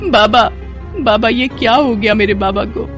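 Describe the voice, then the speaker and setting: A poor teenage beggar girl from a moral story, acted by a woman, speaks in a plaintive, pleading way. She sounds frightened and wronged, and music plays clearly behind her. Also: on the high side, steady tone, measured pace, lightly acted. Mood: grieving.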